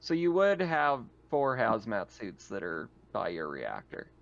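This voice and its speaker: A man running a tabletop game as game master, talking casually through rules in a halting, agreeable way. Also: lowish voice, huge pitch swings, creaky, a bit bright, lo-fi sound.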